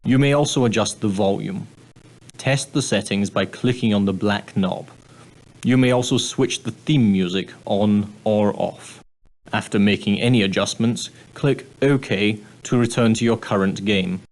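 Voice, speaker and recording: An actor playing a straight-laced, proper ocean-liner steward in a video game, speaking formally and deferentially with precise, politely dry phrasing. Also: male voice, lowish voice, unhurried. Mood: courteous, instructive. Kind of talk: scripted game dialogue, giving guidance.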